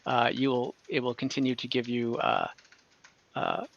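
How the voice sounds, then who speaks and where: A man working as game master, improvising narration for an online tabletop game in a halting way, searching for words. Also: mid-range voice, creaky, fairly bright tone, no echo, muffled recording.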